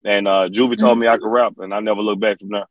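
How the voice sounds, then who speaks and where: Low-voiced Memphis man telling stories. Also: little pitch movement, fast talker, muffled recording. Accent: Memphis Southern. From Memphis, Tennessee. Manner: repetitive, slang-peppered, frequent "you know". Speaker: rapper, interview guest. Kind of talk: casual interview storytelling.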